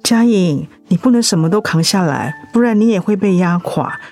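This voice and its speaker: Warm, supportive best friend played by a woman. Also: medium pitch, normal speed, faint music behind, close to an everyday voice. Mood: concerned, reassuring. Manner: expressive, encouraging. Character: warm, reassuring close female friend, cheerful and supportive. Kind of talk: radio drama acting.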